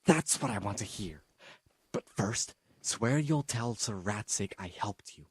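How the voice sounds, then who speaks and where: An actor playing a shifty, scheming camp guard in a video game, with a raspy voice. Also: male voice, lowish voice, animated, a little slow, no echo, heavily acted. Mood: conspiratorial, instructing. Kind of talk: video game cutscene acting.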